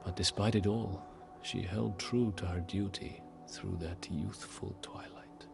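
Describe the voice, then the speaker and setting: An actor playing a solemn, grieving widowed medieval king, narrating his story in a game cutscene with grave, measured pauses. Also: low-pitched, fairly even intonation, over music. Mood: sorrowful, remembering. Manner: grave, measured, pausing, often breathy at phrase ends. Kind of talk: dramatic first-person storybook game narration.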